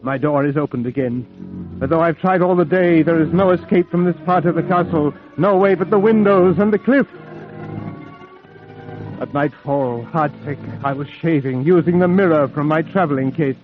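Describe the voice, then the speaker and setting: Young male captive, played by a male actor, high-voiced, haunted and melodramatic, vintage radio horror, music underneath. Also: natural voice. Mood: despairing. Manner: melodramatic, breathless dread, dramatic pauses. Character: young Englishman held captive, terrified, desperate, haunted. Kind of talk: old-time radio horror play acting. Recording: muffled old radio recording, music behind.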